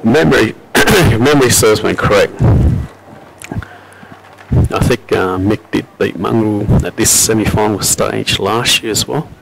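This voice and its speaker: Gravelly low-voiced Australian pool commentator. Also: male voice, measured pace, noisy background. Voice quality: robust loud voice. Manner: relaxed, chatty, frequent fillers and coughs. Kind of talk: live sports commentary. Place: venue at a pool championship.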